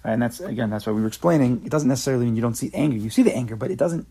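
Gravelly, husky man leading a casual class. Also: mid-range voice, very fast. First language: not Hebrew. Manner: animated, joking, repeats words. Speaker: class leader. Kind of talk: casual Torah class chat.

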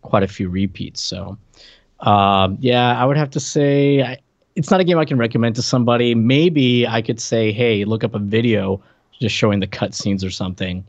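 A man's voice: medium pitch, moderate pace, dry recording. A man co-hosting a podcast, chatting casually and wryly in a conversational game review style. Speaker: podcast host. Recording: dull, dry lo-fi mic sound.

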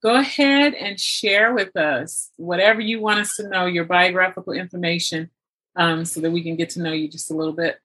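A woman's voice: fairly low pitch, normal speed, sharp tone, poor audio. A woman hosting a show, speaking in an encouraging, enthusiastic way as she introduces and interviews. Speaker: show host. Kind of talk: scripted show intro and upbeat interview chat.

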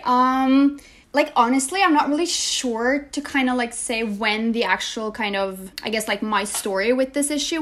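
Woman, from Norway, young adult, interview guest, higher pitch, hesitant, fillers, video call. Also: fairly bright tone. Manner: hesitant, many fillers and restarts. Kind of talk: casual interview chat.

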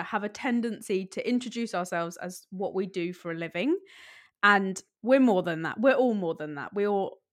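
Upbeat woman podcast host. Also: mid-range voice. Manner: upbeat, encouraging. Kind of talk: casual interview chat.

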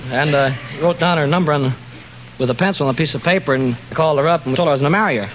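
A man's voice: on the high side, rapid-fire. An American man from Chicago answering casually as a contestant on an old-time radio quiz. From Chicago, United States. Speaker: quiz contestant, bus driver. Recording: muffled, noisy old-time radio recording.

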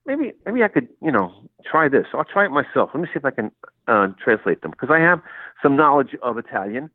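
A man's voice: mid-range voice, big pitch swings, fairly quick, no room sound. A man whose first language was Sicilian, a college English professor telling his story in an interview, with a rambling manner and many restarts. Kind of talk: relaxed interview conversation. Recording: tinny phone-like line, dry.

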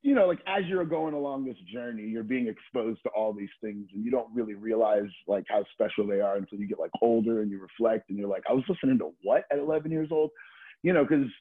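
A man's voice: mid-range voice, breathy edge, narrow, tinny audio. A middle-aged man talking casually as a podcast guest, telling stories in an informal, slangy way with swearing and plenty of filler words like "like" and "you know". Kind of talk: casual conversational storytelling.

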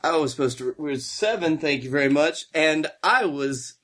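Slightly high-voiced playful man. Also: lively intonation, moderate pace, fairly bright tone. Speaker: comedy podcast host. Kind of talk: casual comic banter.

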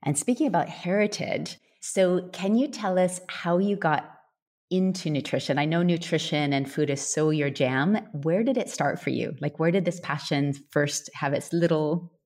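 A woman's voice: low voice, moderate pace, a little mellow. A woman hosting a podcast, asking friendly, curious questions in casual chat. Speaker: podcast host.

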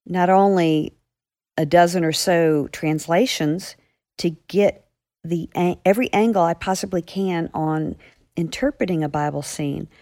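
A woman's voice: sits low, a little mellow, no room sound, clean studio sound. A woman author answering a question in an earnest, chatty manner. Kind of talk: podcast guest answer.

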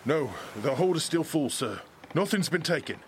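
An actor playing a nervous, deferential common sailor in an audio drama, speaking hesitantly in rough, unschooled grammar. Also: male voice, a little high, expressive, moderate pace, slight background noise, lightly acted. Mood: uneasy, deferential.

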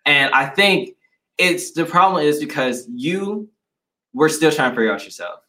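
A young man in casual conversation, speaking earnestly and reflectively with halting restarts. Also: a little high, lively intonation, bright, forceful tone. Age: young adult. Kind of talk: casual chat.

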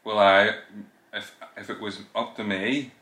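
Deep-voiced man chatting hesitantly. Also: fairly even intonation, measured pace, pushed and bright. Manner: hesitant, many fillers and tag questions. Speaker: podcast co-host. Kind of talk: casual chat. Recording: echoey room.

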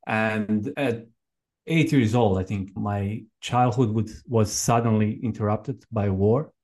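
Man, thirties, Croatian accent, lowish pitch, podcast guest, halting conversational storytelling. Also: a little mellow. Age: 30s. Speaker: podcast guest, permaculture farmer and educator. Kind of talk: conversational personal account.

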